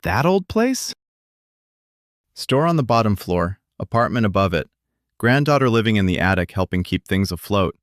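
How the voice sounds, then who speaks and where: A man voicing a tired small-town man who speaks quietly and glumly, in an audiobook reading. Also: on the low side, very animated, normal speed, dry recording, clean studio sound. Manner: quiet, glum. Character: weary small-town man bringing bad news. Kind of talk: audiobook character voice.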